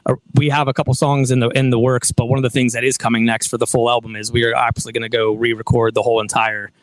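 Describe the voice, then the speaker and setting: A man's voice: mid-range voice, level tone, quick pace, no room sound, poor audio. An American man, a band member, speaking earnestly in a casual interview, often stopping and restarting his sentences. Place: bowling alley venue.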